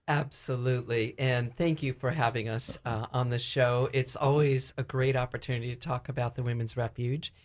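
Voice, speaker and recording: A woman speaking as a ministry director and guest in a conversational radio interview, explaining things a little haltingly. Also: rumbling low, fairly even intonation.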